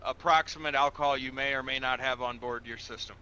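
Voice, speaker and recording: A man's voice: medium pitch, steady tone, fast, dull audio, a loud crowd, close to an everyday voice. A man playing a patient, procedural police officer in an online game roleplay, explaining things step by step in a formal, instructional way. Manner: methodical, instructional, repeats himself, formal with sir.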